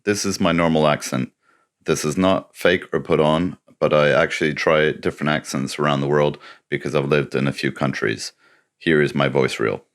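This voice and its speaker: A man with a gravelly voice introducing his own voice-acting reel. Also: very deep voice, steady tone, moderate pace. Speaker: voice actor presenting his reel. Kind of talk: spoken introduction.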